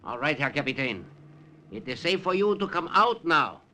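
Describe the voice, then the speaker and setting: An actor playing a deferential German officer turned collaborator, with a German accent and formal manner, in 1940s radio style. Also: male voice, a little high, very animated, normal speed, background noise. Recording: dull old radio recording.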